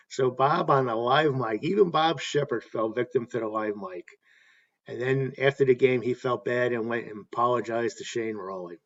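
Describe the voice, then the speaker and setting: A man hosting a tribute talk, reading anecdotes in a halting way and correcting himself as he goes. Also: medium pitch, lo-fi sound. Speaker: host paying tribute to a stadium announcer.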